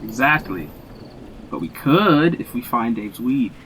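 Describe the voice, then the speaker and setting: Cocky young stoner dude. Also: male voice, medium pitch, very animated, unhurried, a bit bright, slight background noise, rough recording. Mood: cocky, eager. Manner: brash, teasing, slangy. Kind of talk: audio drama dialogue.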